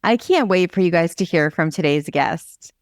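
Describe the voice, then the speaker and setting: A woman's voice: lowish voice, animated, moderate pace, no echo, clean studio sound. A woman with an American accent hosting a podcast, speaking in an upbeat, enthusiastic way as she introduces and interviews. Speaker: podcast host.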